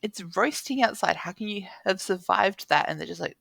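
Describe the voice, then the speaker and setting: A woman with an Australian accent talks casually as a podcast co-host. Her voice is bright and forceful with a noticeable fry, and the sound is dry. She tells anecdotes informally, acting out what people said with a dry, joking touch. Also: medium pitch, animated, moderate pace, no echo.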